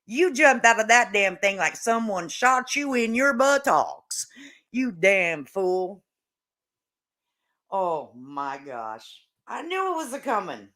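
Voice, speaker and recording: A woman's voice: medium pitch, very animated, slow, a bit bright. A woman doing casual reaction commentary as a channel host, with a blunt, mocking, folksy and salty way of talking.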